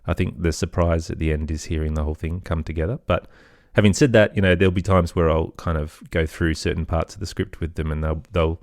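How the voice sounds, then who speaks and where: Deep, even-toned Australian man in interview. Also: fairly quick, few pauses, no echo, studio quality. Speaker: interview guest, children's podcast creator. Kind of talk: casual interview chat.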